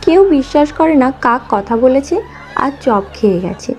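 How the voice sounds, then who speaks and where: A woman's voice: high-pitched, lively intonation, measured pace, a little mellow. A woman reading scripted storybook narration for a children's cartoon. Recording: slightly dull audio, music underneath.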